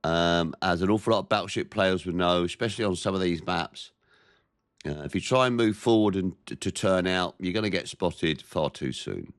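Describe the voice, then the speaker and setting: A very deep-voiced man with a head cold, his voice nasal and stuffy as he narrates a warship game in a measured, plain-spoken way. The sound is close and dry, with no room around it. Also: clear, resonant tone, no echo. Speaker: gameplay commentator. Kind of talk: naval game commentary.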